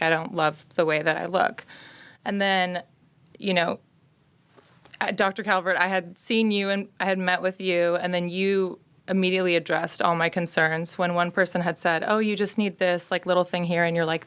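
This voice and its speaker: A woman's voice: lowish voice, a bit bright, smooth. A woman in her thirties telling her story as a guest in a casual interview, in an earnest, reflective way. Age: 30s. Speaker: podcast guest, patient.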